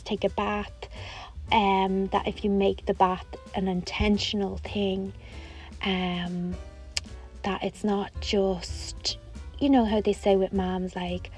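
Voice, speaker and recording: A hoarse woman, chatting casually to camera in a rambling way with lots of fillers. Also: mid-range voice, a little mellow. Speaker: vlogger.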